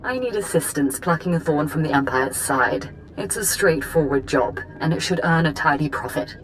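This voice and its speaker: An actress playing a poised, businesslike imperial diplomat and spymaster in a video game, speaking formally. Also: female voice, sits low, animated, moderate pace, slight background noise, poor audio. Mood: composed, resolute. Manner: formal, polished. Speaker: imperial special operations chief.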